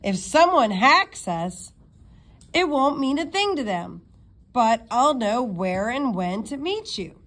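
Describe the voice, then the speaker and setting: Storybook role: secretive male spymaster, measured and instructive, read by a woman. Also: fairly high pitch, very animated, fairly bright tone, full character voice. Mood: firm, coaching.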